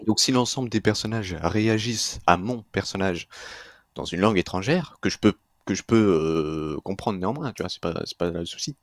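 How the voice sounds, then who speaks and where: A man from France, a guest in a casual discussion, calmly explaining his reasons. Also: sits low, moderate pace, no echo, studio quality.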